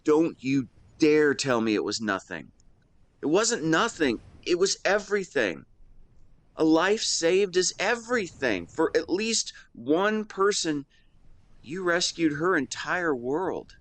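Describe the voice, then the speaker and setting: A man playing an affectionate, playful young best friend in a romantic audio roleplay, speaking to the listener in a teasing, tender, expressive way. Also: on the high side, slow, no room sound, full character voice. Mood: admiring, earnest.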